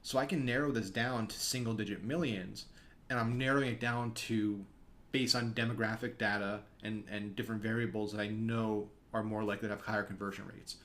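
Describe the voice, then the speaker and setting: A young man teaching an online course over a screen share, talking quickly and casually with frequent fillers. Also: on the low side, steady tone, fairly bright tone. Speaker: course instructor. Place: small room, indoors.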